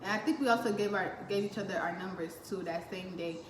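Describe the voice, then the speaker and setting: A teenage girl tells a story with her cousins, chatty and laughing as she acts out quotes. Her voice sits at a middle pitch. The room gives a roomy echo over a faint hiss. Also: fast. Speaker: vlogger. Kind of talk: casual storytime chat.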